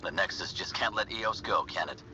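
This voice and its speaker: An actor playing a gruff, jaded male settler with a curt, cynical manner in a video game cutscene. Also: lowish voice, moderate pace, bright, forceful tone, muffled recording, slight background noise.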